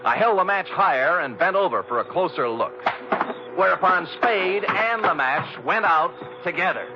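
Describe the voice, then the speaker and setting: An actor playing a wry, hard-boiled private detective who narrates in a glib, mock-formal deadpan in a scripted 1950s radio drama. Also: male voice, high voice, moderate pace, very noisy. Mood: tense, wry. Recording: dull old radio recording with music.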